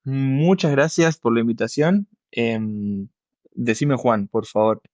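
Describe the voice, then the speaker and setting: A man's voice: mid-range voice, slow, a little mellow, no echo, muffled recording. A man in his thirties with a Buenos Aires accent, telling his story conversationally as an interview guest. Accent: Buenos Aires Argentine. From Buenos Aires, Argentina. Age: 30s. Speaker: guest expert, yeast scientist.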